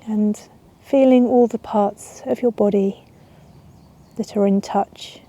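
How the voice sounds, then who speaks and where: A woman yoga teacher guiding a relaxation in a soothing, unhurried way with long pauses. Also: medium pitch, fairly even intonation, mellow. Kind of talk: guided yoga nidra relaxation. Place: outdoors in a garden. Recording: outdoor ambience with birdsong. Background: birds and garden ambience.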